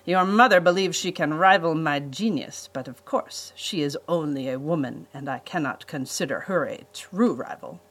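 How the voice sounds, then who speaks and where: A woman voicing a pompous, menacing male villain, a vain botanist, in a storybook reading with slow, emphatic delivery. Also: low-pitched, slightly rough. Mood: boastful.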